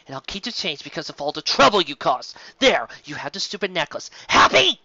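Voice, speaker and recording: An exasperated, bitter young unicorn shopkeeper from a storybook, played by a woman. She scolds in a snappish, sharp voice that leaps up and down. The recording is dry and a little dull. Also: low-pitched, very animated, a little fast, dry recording, heavily acted.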